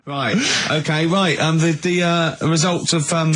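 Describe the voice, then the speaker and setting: A man's voice: fairly high pitch, steady tone, measured pace, a bit bright. A man hosting a comedy radio show, bantering and teasing casually.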